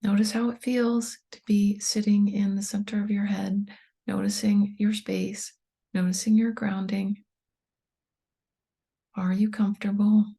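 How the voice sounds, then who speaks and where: A woman leading a guided meditation in a soft, breathy voice, calm and soothing, with unhurried pauses. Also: medium pitch. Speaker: meditation guide, tapping coach.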